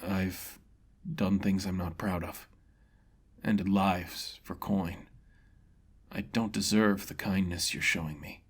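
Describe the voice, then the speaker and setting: A man's voice: sits low, fairly even intonation, takes it slowly, long pauses, breathy edge, exaggerated voice. A man voicing an honorable, remorseful male mercenary who speaks in a grave, formal, subdued way in a fantasy story. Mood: ashamed.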